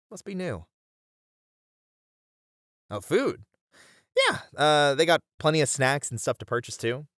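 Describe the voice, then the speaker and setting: A man's voice: medium pitch, fairly bright tone, no echo, studio quality. A man voicing a friendly, bashful bunny man who chats helpfully to the listener in an ASMR roleplay. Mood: chatty, helpful. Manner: chatty, helpful, easily flustered, breaking into chuckles and hesitations. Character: friendly, bashful bunny man, bookish manga cafe regular. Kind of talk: ASMR roleplay, one-sided conversation with the listener.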